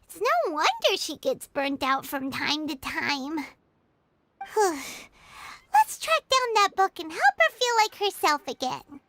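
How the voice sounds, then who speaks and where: A woman voicing a tiny, childlike fairy companion in an anime game, squeaky, eager and naive, with wide-eyed exclamations. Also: sing-song, takes it slowly, fairly bright tone, slight background noise, exaggerated voice. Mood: sympathetic. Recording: music behind the voice.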